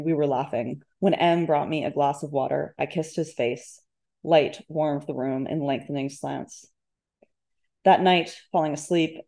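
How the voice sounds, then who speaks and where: A woman author reading literary first-person fiction aloud in measured, deliberate phrases. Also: sits low, dark tone. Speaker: debut author, guest.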